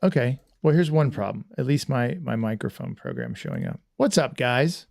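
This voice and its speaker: A man's voice: mid-range voice, huge pitch swings, moderate pace, vocal fry, a little mellow. A man hosting a casual livestream podcast, rambling and self-deprecating with lots of restarts. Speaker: podcast host.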